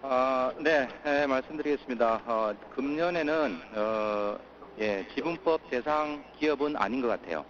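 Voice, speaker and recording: A man presenting as a company executive on an earnings call, pausing often with filler sounds. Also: medium pitch, a bit bright. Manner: halting, many filler sounds. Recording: muffled conference call audio.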